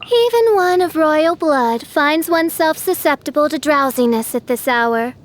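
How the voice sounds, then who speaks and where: A woman voicing a theatrical teenage girl in a video game who styles herself a dark princess, with grandiose, archaic speech. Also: high-pitched, lively intonation, unhurried. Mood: regal, pompous. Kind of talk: scripted video game character acting.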